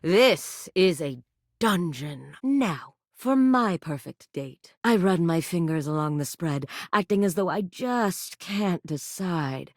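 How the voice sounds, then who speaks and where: A voice actress playing a flirty, theatrical woman who teases in a slow, sultry drawl. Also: fairly low pitch, expressive, no room sound, studio quality, heavily acted. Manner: sultry and playful, slow drawn-out delivery. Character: flirty, theatrical adult woman, bold and teasing. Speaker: voice actor. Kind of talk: video game character voice lines. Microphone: close studio mic.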